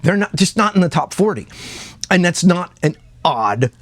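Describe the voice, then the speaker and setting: A man's voice: high-pitched, sing-song, moderate pace, a bit bright, dry recording. A man giving casual, unscripted commentary as a video host, conversational and often repeating words as he searches for the next one.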